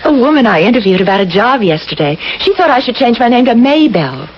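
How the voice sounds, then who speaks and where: An actress playing a kindly, agreeable female social worker in a radio drama, speaking in a gentle, conversational, slightly hesitant way. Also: fairly high pitch, very animated, a little fast, slightly rough. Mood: friendly, amused. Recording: muffled, noisy old radio broadcast.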